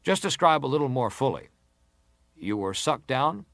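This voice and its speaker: An actor playing an insistent senator who leads a formal inquiry, questioning in a pressing, formal manner. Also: male voice, mid-range voice, big pitch swings, normal speed, fairly bright tone, no echo, clean studio sound. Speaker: actor reading testimony. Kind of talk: dramatized reading of hearing testimony.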